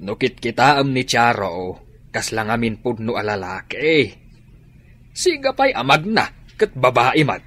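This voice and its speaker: A man playing a concerned Filipino father in a radio drama, with a husky voice and a Filipino accent. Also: medium pitch, sing-song, unhurried, fairly bright tone. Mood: gossipy, puzzled. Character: plain-spoken Filipino father, fretting over his grown children. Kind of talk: Ilocano radio drama acting.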